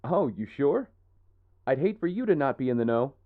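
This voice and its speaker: An actor playing a wealthy young heir, polite, smooth-talking and guarded, in audio drama dialogue. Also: male voice, mid-range voice, very animated, normal speed, dry recording, phone-quality sound, lightly acted. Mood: wry, guarded.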